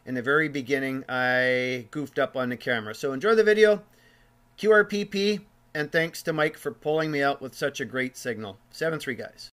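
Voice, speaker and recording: A man's voice: mid-range voice, normal speed, a bit bright. A man narrating an amateur radio video in an informal, explanatory way.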